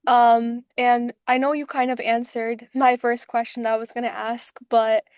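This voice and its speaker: A woman's voice: a little high, little pitch movement, moderate pace, darkish tone, smooth, dry recording, clean studio sound. A young woman interviewing a guest, casual and friendly in her wording, often filling in with "like" and "um". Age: young adult. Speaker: host, interviewer. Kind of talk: interview conversation.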